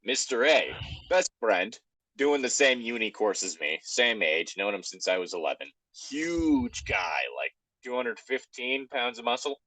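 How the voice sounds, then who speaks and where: A man's voice: mid-range voice, sing-song, unhurried, bright, forceful tone, no echo, poor audio. A man from Texas co-hosting a casual story reading, joking, teasing and swearing. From Texas, United States. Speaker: co-host reacting to a story.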